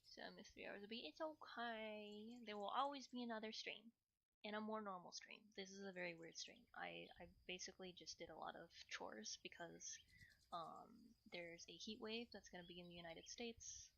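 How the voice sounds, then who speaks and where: A woman's voice: medium pitch, normal speed, gravelly, fairly bright tone, a little hiss or hum. A woman streamer talking informally to her viewers, rambling a little and thinking aloud. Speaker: streamer, channel host. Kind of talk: casual livestream chat with viewers.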